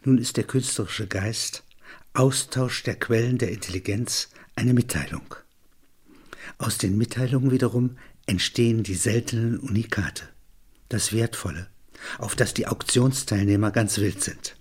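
A man reading literary prose as a narrator, with measured, deliberate phrasing. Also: on the low side, a little mellow, breathy edge.

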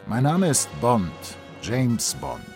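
A man's voice: medium pitch, big pitch swings, slow pace, over music. A man narrating a scripted story for children in a playful style. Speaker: narrator of a quiz story segment.

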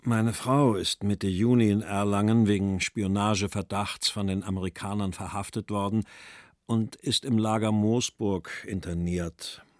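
An actor reading as a forty-year-old male writer, in a measured, dry, expressive delivery of personal letters. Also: on the low side, no echo, natural voice. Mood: worried. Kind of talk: dramatic literary letter reading.

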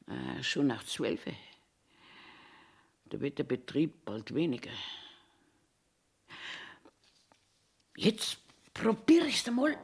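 A woman's voice: rumbling low, heavily acted. An actress in her eighties playing a cranky, eccentric old toilet attendant in a theatrical monologue, querulously talking to herself in a Bavarian accent. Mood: grumbling, self-pitying. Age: 80s. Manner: self-talking, querulous, emphatic. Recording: echoey room sound.

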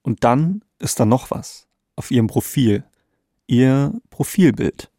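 A man's voice: medium pitch, slow pace, warm tone, no room sound, clean studio sound. A man co-hosting a podcast, narrating a scripted story in a conversational way. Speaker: podcast co-host. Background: faint background music.

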